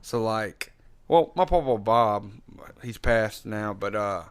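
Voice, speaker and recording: A man talking as an interview guest in a relaxed, rambling way, with lots of fillers and frequent laughs. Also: fairly low pitch, slow, smooth. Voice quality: loud singing voice. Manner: laughs often. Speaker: interview guest, singer and guitarist. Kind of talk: casual interview chat.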